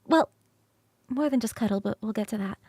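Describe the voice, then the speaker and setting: A woman performing an ASMR roleplay as a playful, affectionate succubus roommate who talks straight to the listener in a coaxing, halting, expressive way. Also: a little high, breathy edge, no room sound, studio quality. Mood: earnest, flustered. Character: affectionate, playful succubus roommate, young-sounding, eager to cuddle. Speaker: streamer performing scripted roleplay.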